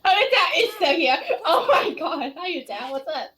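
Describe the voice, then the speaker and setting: A woman streaming a game with a friend, chatting casually with excitable reactions. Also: high-pitched, normal speed. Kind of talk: casual gameplay chat.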